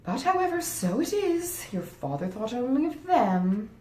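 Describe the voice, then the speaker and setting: Woman voicing a grasping gentlewoman, lively swooping pitch. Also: a little slow. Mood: resentful. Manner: emphatic, insistent, genteel. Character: grasping, self-justifying married gentlewoman, coolly persuasive. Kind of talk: dramatized audiobook reading of a classic novel. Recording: roomy echo, faint rumbling noise.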